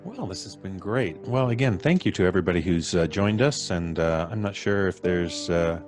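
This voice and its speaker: A man's voice: low-pitched, animated, normal speed, over music. A man hosting a live show, chatting casually and genially with his audience and colleagues. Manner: genial, appreciative.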